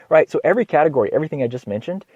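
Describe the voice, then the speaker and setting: A slightly hoarse man with a cold hosts an informal health podcast as a doctor, speaking haltingly, repeating words and often clearing his throat. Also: fairly high pitch, lively intonation, a little mellow, no room sound, muffled recording. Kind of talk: informal educational health monologue.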